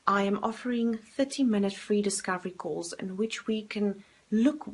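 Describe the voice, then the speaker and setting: A woman's voice: medium pitch, moderate pace, slightly rough. A woman, a coach talking straight to camera in a self-help video, earnest and direct, putting questions to herself and answering them. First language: Afrikaans.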